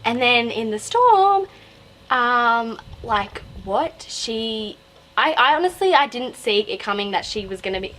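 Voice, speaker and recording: Young Australian woman, slightly high voice, rambling casual book vlogger; home recording with faint noise. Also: lively intonation, unhurried, fairly bright tone, rough recording. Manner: rambling, digressive, self-interrupting. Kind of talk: casual, chatty book review to camera.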